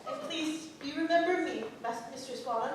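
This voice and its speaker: An actress playing a polite, dutiful townswife of early modern England in a staged play reading. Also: female voice, high-pitched, normal speed, lightly acted. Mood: anxious. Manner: polite, deferential. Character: Bridget, a deferential, dutiful, hard-pressed early modern townswife. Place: playhouse stage. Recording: echoey theatre from far off, faint noise.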